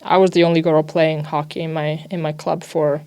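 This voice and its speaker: A woman's voice: sits low, level tone, a little fast, a little mellow, clear, resonant tone. A young woman from Italy, a native Italian speaker, answering interview questions casually as an athlete, with lots of "you know" and "like". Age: young adult. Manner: informal, peppered with "you know" and "like". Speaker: ice hockey defender.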